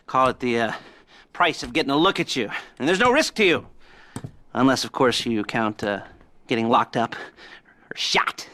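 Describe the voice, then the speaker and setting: A sly middle-aged heist boss in a game cutscene, giggling through his pauses and dropping leering innuendo. His voice is bright and jumps around in pitch. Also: lively intonation. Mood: scheming. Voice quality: giggling, rambling with many pauses. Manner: creepy innuendo, teasing. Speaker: heist mission giver. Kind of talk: video game cutscene dialogue.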